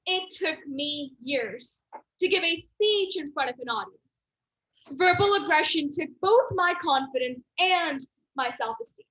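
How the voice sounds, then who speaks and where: A woman's voice: sits high, expressive, a little slow, fairly bright tone, lo-fi sound. A teenage girl from the United States delivering an earnest, emphatic persuasive speech in a contest. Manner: earnest, emphatic, builds to a dramatic close.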